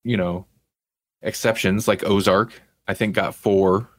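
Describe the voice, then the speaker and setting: A man's voice: fairly low pitch, steady tone, measured pace, no echo. A man hosting a casual podcast about television, talking in a conversational way with rambling explanations. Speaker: podcast host. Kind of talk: casual TV review chat.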